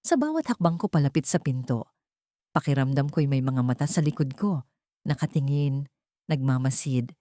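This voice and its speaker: A woman narrates a scripted horror story in the first person. Her voice sits low and mellow, recorded very dry and close. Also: lively intonation, normal speed, no echo.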